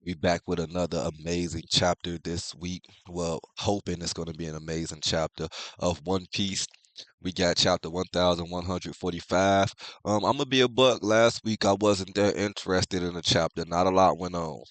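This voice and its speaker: A young man with a very low, congested voice, talking loose and slangy as he hosts a manga chapter review. He sounds close and dry, with no room around him, and his throat stays thick. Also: steady tone, normal speed, no echo. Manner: laid-back slangy talk, heavy profanity. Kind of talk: casual chat.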